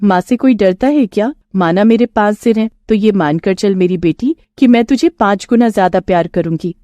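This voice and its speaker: A woman's voice: mid-range voice, normal speed, warm tone, no room sound, lightly acted. A woman voicing a kind, tearful five-headed young village woman, earnest and pleading, in a storybook tale. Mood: loving.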